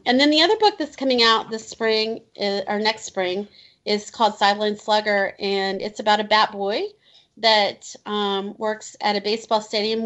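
Chatty woman in her fifties, higher voice. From Indiana, US. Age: 50s. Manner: chatty, many um fillers and restarts, laughs often. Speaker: children's book author, guest. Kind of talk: casual interview chat. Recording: slightly dull lo-fi audio.

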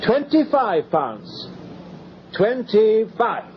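A man's voice: high voice, sing-song, very slow, exaggerated voice. A man acting a formal auctioneer in a radio drama, declaiming lots and bids. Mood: formal, brisk. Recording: muffled, noisy old radio drama recording.

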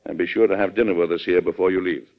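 An actor playing a firm, shrewd American president in an old radio drama, talking bluntly and assertively with an American accent. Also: male voice, low-pitched, steady tone, fast, loud music behind the voice, lightly acted. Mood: insistent, fatherly. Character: firm, plain-spoken American male president, shrewd and commanding. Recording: dull, band-limited vintage radio recording.

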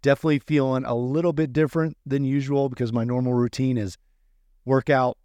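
A man speaks as a podcast co-host in an easygoing, conversational style. His pitch is mid-range, and the sound is dry and close, with no room echo. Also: fairly even intonation, fairly quick, mellow, dull audio. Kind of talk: casual podcast chat.

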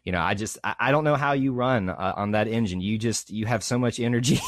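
A man's voice: on the low side, dry recording. A man interviewing a guest in a casual, appreciative way, often restarting his sentences. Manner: warm, appreciative, halting restarts.

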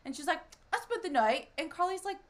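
A woman's voice: very high voice, animated, fairly quick. A young woman co-hosting a casual podcast, teasing her co-host and retelling scenes with animation.

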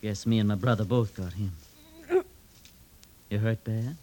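An American actor playing a grim, steady, protective outlaw brother in a radio western, terse and deliberate. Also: male voice, lowish voice, natural voice. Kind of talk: old-time radio western acting. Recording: noisy vintage radio recording with clicks.

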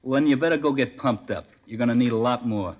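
A man's voice: mid-range voice, fast talker, dull audio, lightly acted. An actor playing a sarcastic, defiant sixteen-year-old delinquent boy in a 1950s police drama, sneering through his answers.

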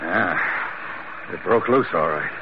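Laconic mate, very noisy recording. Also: male voice, sits low, animated, slow. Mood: matter-of-fact. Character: laconic chief mate, loyal sailor. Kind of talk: classic radio drama acting. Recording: very noisy, muffled old radio recording.

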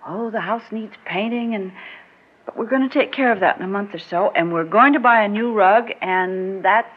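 A woman's voice: mid-range voice, normal speed, slightly rough, natural voice. An actress playing a proud, guarded woman resisting an old flame, genteel and a little defensive, in classic radio drama style. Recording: muffled old radio recording.

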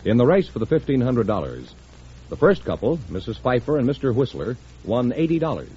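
A man's voice: medium pitch, wildly swooping pitch, a little fast, warm tone, slightly rough. A man working as the announcer on a radio quiz show. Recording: dull, hissy vintage radio broadcast.

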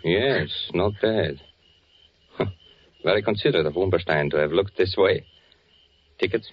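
A male actor playing a cautious, brisk spy handler in a 1940s radio thriller, with clipped, instructive delivery. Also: deep voice, slightly rough. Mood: businesslike, wry. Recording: muffled, noisy 1940s radio recording.

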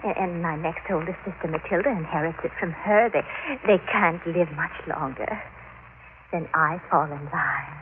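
An actress playing a lonely, lovesick spinster heiress in old radio melodrama, hesitant and plaintive. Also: female voice, lowish voice, moderate pace, close to an everyday voice. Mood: wistful, resigned. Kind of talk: old-time radio drama acting. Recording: tinny, noisy old radio recording.

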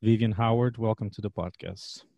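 A middle-aged man with a Portuguese accent hosts a food podcast in a lively, upbeat way. His voice sits low and mellow. The recording is very dry and close, with faint music underneath. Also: normal speed, gravelly, no room sound, lo-fi sound. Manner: energetic upbeat intro, quieter when asking questions. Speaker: podcast host and chef. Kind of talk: podcast interview and ad read.

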